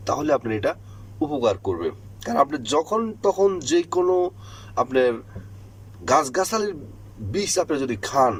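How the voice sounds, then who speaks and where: A man's voice: fairly high pitch, slight background noise, low-quality recording. A man presenting health tips, explaining step by step in an informal, emphatic and repetitive way. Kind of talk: informal explainer talk to viewers.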